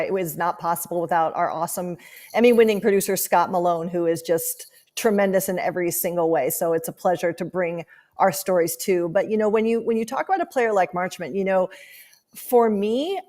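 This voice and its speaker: A woman's voice: fairly low pitch, darkish tone. A woman speaking as a guest sports analyst in a podcast interview, explaining her thinking conversationally.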